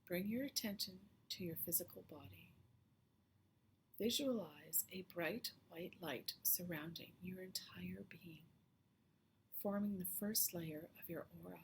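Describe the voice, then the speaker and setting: Female meditation guide: slightly low register, gentle half-whispered phrase endings, unhurried and calming, faint ambient noise underneath. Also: low-quality recording. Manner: soft, hushed, often breathy phrase endings; slow, soothing, with long pauses.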